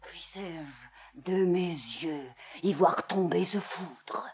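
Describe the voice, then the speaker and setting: A woman's voice: high voice, slow, darkish tone. An actress playing a grief-stricken young Roman woman cursing her homeland, in vehement classical French verse declamation. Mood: venomous, anguished.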